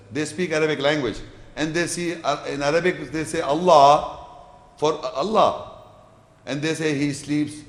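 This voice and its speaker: Man lecturing in an echoey hall. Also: fairly high pitch. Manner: explanatory, repeats words as he builds sentences; recites scripture verses in Arabic. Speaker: Islamic lecturer answering audience questions.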